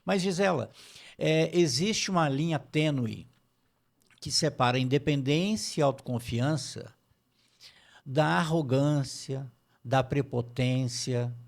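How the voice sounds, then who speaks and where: Gravelly Brazilian man hosting a talk. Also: medium pitch. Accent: Brazilian Portuguese. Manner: thoughtful, measured, shares personal anecdotes. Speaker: host. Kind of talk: interview conversation.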